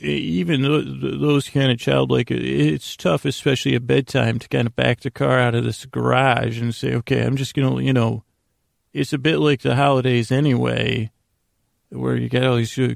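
Very gravelly, rambling man. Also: medium pitch, dry recording, studio quality. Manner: halting, meandering, self-deprecating. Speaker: podcast host. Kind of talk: rambling comedic bedtime monologue.

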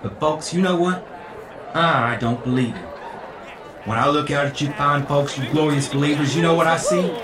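A man's voice: on the high side, normal speed, lightly acted. A man playing a crafty, greedy revival preacher in an audio drama, showy and folksy, full of rhetoric. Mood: grandiose. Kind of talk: theatrical sermon in audio drama. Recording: echoey, distant voice with crowd.

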